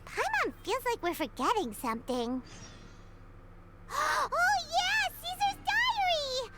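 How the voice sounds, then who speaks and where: A woman voicing a small, childlike, excitable fairy companion in a video game, exclamatory and squeaky. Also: takes it slowly, exaggerated voice. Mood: excited. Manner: speaks of herself in third person, exclamatory. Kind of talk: animated video game voice acting.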